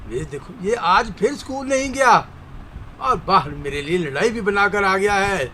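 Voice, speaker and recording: High-voiced, exasperated scolding father. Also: expressive, moderate pace, pushed and bright. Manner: scolding, complaining, emphatic. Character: exasperated, scolding shopkeeper father of a truant boy. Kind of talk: Urdu radio drama acting. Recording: faint background noise.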